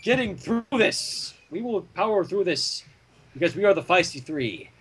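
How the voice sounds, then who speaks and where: A man with a high, husky voice reads a story aloud. His pitch moves around a lot, and he slips between narration and joking chatter. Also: animated, moderate pace, a bit bright. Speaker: fan fiction reader and narrator. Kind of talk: animated story reading with joking banter.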